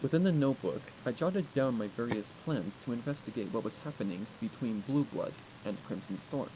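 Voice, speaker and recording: Man narrating fiction over clear noise. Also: medium pitch, lively intonation, a little fast. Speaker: audiobook narrator. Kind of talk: first-person fiction narration. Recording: clearly noisy recording.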